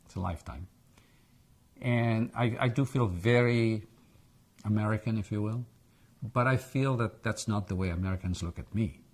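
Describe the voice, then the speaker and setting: Older guy w/ an accent, deep gravelly voice, kinda husky, thinks out loud. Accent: foreign English accent. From Egypt. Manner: reflective, halting, with restarts. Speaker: interviewee. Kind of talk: oral history interview.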